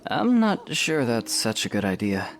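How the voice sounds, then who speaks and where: A man playing a calm, stoic young swordsman hero with an understated, dry humour in a fan audio drama. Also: mid-range voice, a little slow, gravelly. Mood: reserved, reassuring.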